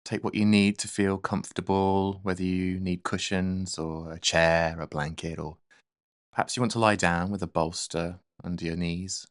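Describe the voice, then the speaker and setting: A man leading a guided meditation in a gentle, soothing, unhurried manner. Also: low voice, fairly even intonation, no room sound, clean studio sound.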